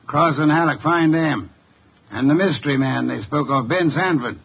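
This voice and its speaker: An actor playing a pompous, irritable genius detective in old radio drama, speaking formally and emphatically. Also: male voice, a little high, normal speed, gravelly, a little hiss or hum. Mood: insistent. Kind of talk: 1950s radio detective acting. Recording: muffled old radio broadcast.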